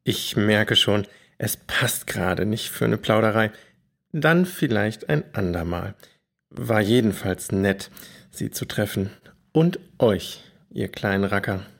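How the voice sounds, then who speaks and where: Guy acting older polite stranger, lowish voice, old fashioned manners, slightly threatening, kids audio drama. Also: slow, gravelly, fairly bright tone, full character voice. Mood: courteous. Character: courteous older male stranger, smooth and faintly menacing.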